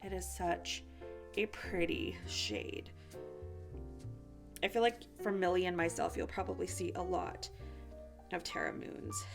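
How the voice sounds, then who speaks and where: A woman's voice: mid-range voice, vocal fry. A woman chatting casually and informally to viewers as a beauty vlogger reviewing products, sounding a little sick and sniffly.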